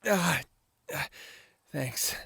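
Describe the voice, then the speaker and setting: A man playing an easygoing male werewolf in an audio drama, low-key and matter-of-fact in his speech. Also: normal speed, no room sound, close to an everyday voice. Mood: understated. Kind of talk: audio roleplay acting.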